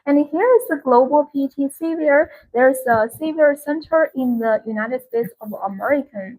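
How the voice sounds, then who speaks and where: Higher-pitched woman hosting a live sales demo. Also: darkish tone, low-quality recording. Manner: repetitive, explanatory, halting non-native English phrasing. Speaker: live-stream sales host. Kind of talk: informal live product demonstration and sales pitch.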